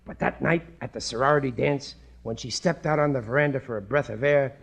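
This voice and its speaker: A man's voice: medium pitch, brisk, gravelly, fairly bright tone, slightly rough. A man playing a blustery, suspicious working-class father in a 1950s radio comedy, talking in a colloquial, earnestly dim-witted way. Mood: earnest reading aloud.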